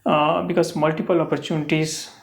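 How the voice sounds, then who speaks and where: A young adult from Pakistan with a Pakistani English accent and Urdu as first language, answering mock speaking-test questions in halting sentences full of uh and um. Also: medium pitch, level tone, gravelly. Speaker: test candidate.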